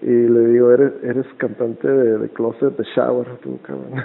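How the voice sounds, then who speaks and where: A man's voice: medium pitch, takes it slowly, creaky. A man with a northern Mexican accent chatting casually and jokily as a podcast host, full of slang. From Nicaragua, moved to Tijuana, Mexico. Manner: slangy, jokey, laughs often. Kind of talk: casual phone chat for a podcast. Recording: thin, phone-like band-limited sound.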